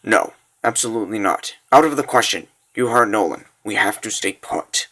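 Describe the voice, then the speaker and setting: A man voicing a calm, formal protective machine companion in a comic dub, measured and dutiful. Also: on the low side, background noise, voice pushed down, close to an everyday voice. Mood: cautious.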